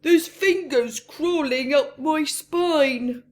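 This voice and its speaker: A woman's voice: sits high, drawn-out words, pitched above the performer's own voice. A woman voicing a frightened, whining robber from a children's picture book, wailing dramatically. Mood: uneasy whining. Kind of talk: storybook character voice.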